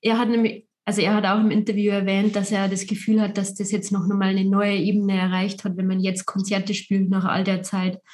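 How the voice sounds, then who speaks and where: A woman interviewer who asks questions in an easy, conversational manner. Her pitch stays flat and even, and she talks calmly without much swing. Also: brisk, hardly any pauses. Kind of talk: casual interview talk.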